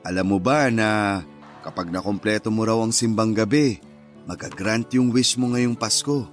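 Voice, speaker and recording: A man acting a gentle, caring Filipino husband and father in a radio drama. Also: lowish voice, normal speed, lightly acted. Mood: light, fond. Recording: music bed under the voice.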